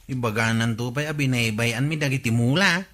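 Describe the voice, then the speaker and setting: An actor playing a grumbling village companion in an Ilocano radio comedy drama, with an Ilocano accent. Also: male voice, mid-range voice, brisk, fairly bright tone, close to an everyday voice. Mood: complaining.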